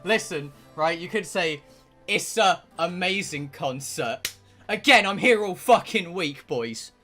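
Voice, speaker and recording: A man's voice: sits high, expressive, a little slow, bright, forceful tone, faint music behind. A man livestreaming a visual novel, chatting casually and reading dialogue aloud in a rambling way with casual swearing. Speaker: streamer playing a visual novel.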